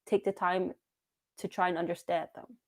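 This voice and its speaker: A woman's voice: on the low side, flat delivery, normal speed. A woman co-hosting a casual book club discussion over a video call, speaking in an encouraging, conversational way.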